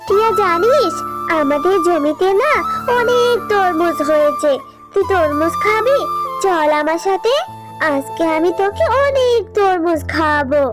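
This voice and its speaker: A woman's voice: very high-pitched, lively intonation, unhurried. A woman voicing a sweet, earnest young animal girl in a children's cartoon, childlike and friendly. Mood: friendly, eager. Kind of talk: Bengali children's cartoon dubbing. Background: music.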